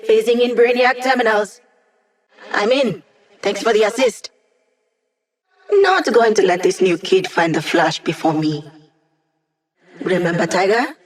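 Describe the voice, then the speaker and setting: Casting: playful young hacker for a video game played by an actor, mid-pitched, self-assured, casual teasing squad support. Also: far from the mic, close to an everyday voice. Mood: confident, upbeat. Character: playful, cocky young hacker sidekick guiding a villain squad.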